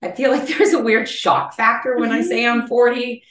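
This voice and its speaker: A woman's voice: a little high, moderate pace, fairly bright tone. A woman in her forties hosting a casual chat, laughing often and thinking aloud. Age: 40s. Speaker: podcast host. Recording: roomy, distant mic.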